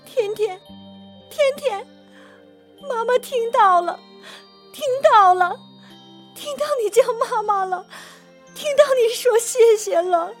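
A woman's voice: very high-pitched, slow pace, long pauses, soft background music. An actress playing a devoted, long-suffering middle-aged mother in a radio drama, with a tender, emotive, pleading delivery. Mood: overjoyed, moved.

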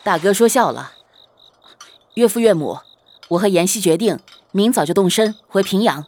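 A woman playing a doting, playful young husband in a Chinese period audio drama, with an eager, expressive way of talking. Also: medium pitch, moderate pace, natural voice. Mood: polite. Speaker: voice actor in an amateur audio drama.

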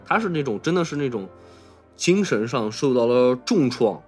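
Halting man with lively intonation. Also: medium pitch, soft background music. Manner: halting, searching for words. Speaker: podcast co-host. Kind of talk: casual film discussion.

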